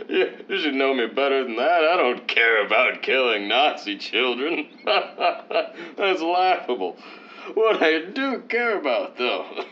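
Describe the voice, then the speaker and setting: A man's voice: medium pitch, sharp tone. An actor playing a vain, condescending leading man of old-time radio, pompous and theatrical. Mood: jovial, condescending, then self-important. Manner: pompous, theatrical, swooping emphasis. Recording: dull lo-fi sound, faint noise.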